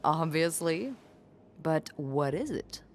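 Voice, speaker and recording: A woman's voice: sits low, very animated, slow pace, soft background music, heavily acted. A woman playing a wry, sardonic hotel front desk clerk in a video game, with a dry manner. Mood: wry, teasing. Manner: dry, teasing, sarcastic. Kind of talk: naturalistic video game acting.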